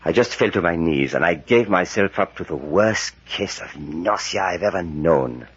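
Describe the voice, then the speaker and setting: Secretive, solemn professor for vintage radio adventure; husky, dramatic storyteller, muffled old sound. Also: male voice, low-pitched, expressive, normal speed. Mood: haunted, dramatic. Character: grave, secretive male professor recounting horrors. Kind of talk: 1940s radio drama acting. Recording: dull vintage radio recording.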